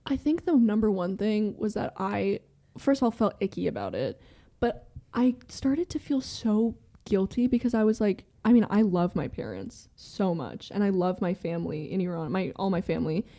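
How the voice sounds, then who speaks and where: A young American woman, a podcast guest, talking candidly and fast in a rambling way, full of "like" and swearing. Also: medium pitch, lively intonation, mellow. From American with Iranian family. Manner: fast rambling talk, heavy use of "like" and swearing. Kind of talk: candid casual conversation.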